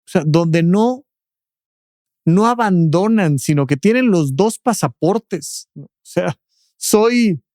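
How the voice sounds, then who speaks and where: A man talks as a doctor on a podcast, explaining patiently and often closing a point with a questioning tag. His voice sits a bit high, and the studio sound is dry and close, with no room around it. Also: animated, slow pace, darkish tone, no room sound. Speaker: doctor and expert guest.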